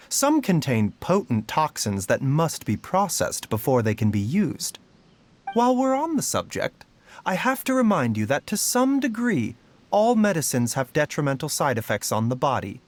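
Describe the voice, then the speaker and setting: An actor playing a gentle, scholarly doctor in a fantasy game, speaking in a measured, polite and cautious way. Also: male voice, on the high side. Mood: calm explaining, then gently cautioning. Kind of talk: scripted game voice acting. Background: background music.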